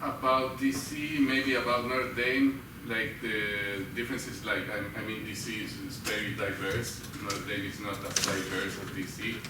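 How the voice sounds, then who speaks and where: A man from Guatemala with a Guatemalan Spanish accent, answering as a guest in a casual live interview, haltingly and with repeated words. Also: fairly low pitch, little pitch movement. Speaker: interview guest, human rights lawyer. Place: live show venue with an audience of about fifty. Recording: roomy echo, distant mic, loud background noise.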